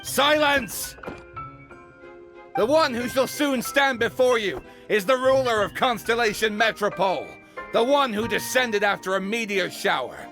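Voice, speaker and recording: An actor playing a pompous, zealous and loyal royal herald in a video game, with a declamatory manner. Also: male voice, sits high, expressive, measured pace, sharp tone, soft background music, full character voice. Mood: grandly proclaiming. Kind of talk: English video game voice acting.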